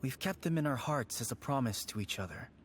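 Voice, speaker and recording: A man voicing a gentle, reflective grown-up childhood friend in a game. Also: medium pitch, lively intonation, fairly quick, noisy background, lightly acted. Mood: wistful. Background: game music.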